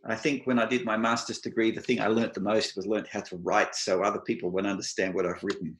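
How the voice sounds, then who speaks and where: A middle-aged man with an Australian accent gives an advice talk to an online meetup. He keeps his pace slow and his words clear, with touches of dry humour. His voice sits on the lower side. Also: little pitch movement, reverberant. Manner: slow, deliberate, clear delivery for non-native listeners. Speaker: IT professional speaking at an online meetup.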